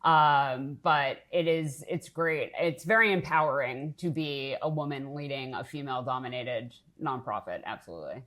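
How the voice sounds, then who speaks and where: Low-voiced woman from Boston, candid. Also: normal speed, few pauses, fairly bright tone. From Boston area, US. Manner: candid, self-deprecating, upbeat. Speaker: nonprofit CEO, guest. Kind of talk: casual interview talk.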